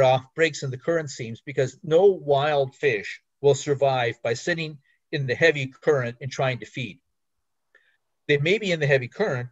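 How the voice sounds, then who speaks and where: Older male lecturer, middle pitch, rambling, muffled dry recording. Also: no echo. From Canada. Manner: rambling, restarts and fillers, anecdotal. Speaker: fisheries biologist giving a talk. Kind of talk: slide presentation lecture. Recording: dull, slightly muffled recording.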